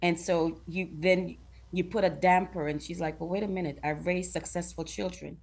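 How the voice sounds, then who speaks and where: A woman's voice: on the low side, fairly even intonation, normal speed, a little mellow, breathy edge. A middle-aged woman from Guyana, a talk show guest who speaks earnestly, laughs often and acts out what other people say. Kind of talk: casual interview chat.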